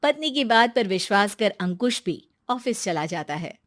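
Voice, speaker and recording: A woman's voice: mid-range voice, animated, normal speed, dry recording, clean studio sound. A woman narrator reading a scripted cartoon moral story.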